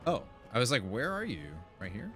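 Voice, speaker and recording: A man's voice: mid-range voice, big pitch swings, brisk, music in the background. A man giving casual, rambling and enthusiastic gameplay commentary as he chats with his viewers. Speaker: video game streamer.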